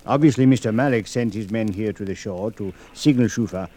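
An actor playing a measured, dryly amused police chief in an old radio drama, speaking formally. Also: male voice, on the low side, dark tone, lightly acted. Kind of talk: 1940s-50s radio drama acting. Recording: slightly noisy old radio recording.

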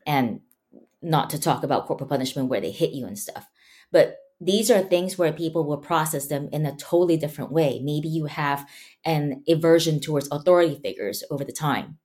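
Animated storytelling woman from Malaysia. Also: sits low. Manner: animated storytelling, acts out quoted scolding voices. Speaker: guest. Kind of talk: conversational interview.

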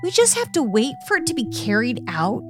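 A woman playing a needy, besotted lover in a theatrical dramatic reading, with a yearning, drawn-out delivery. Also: on the high side, wildly swooping pitch. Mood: searching, lost. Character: besotted, needy woman sending secret love texts. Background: music.

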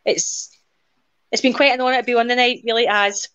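A woman's voice: on the high side, fairly even intonation, brisk, fairly bright tone, smooth. A woman, a podcast guest chatting casually in an outspoken, defiant way. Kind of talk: casual chat.

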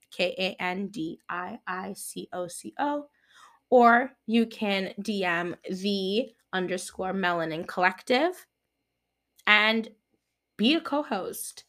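A woman's voice: medium pitch, fairly bright tone. A woman in her twenties hosting a solo podcast, chatting playfully and rambling, with laughs at her own jokes. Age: 20s. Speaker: podcast host.

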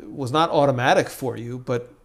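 Male interviewer, mid-pitched, chatty and upbeat host manner. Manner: chatty, upbeat, quick to laugh. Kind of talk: casual interview conversation.

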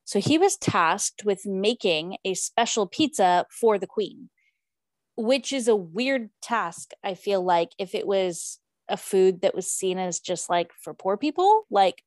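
A woman's voice: medium pitch, animated, measured pace, a little mellow, no room sound. Chatty woman podcast host, dry sound. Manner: chatty, fillers and restarts, laughs at herself. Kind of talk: casual chat.

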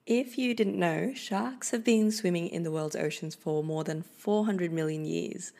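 A woman's voice: lowish voice, measured pace, gravelly, mellow. A young woman who speaks Filipino as her first language, hosting her own podcast in a chatty, informal way with light laughter. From Philippines. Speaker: podcast host. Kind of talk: solo podcast monologue. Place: Australia.

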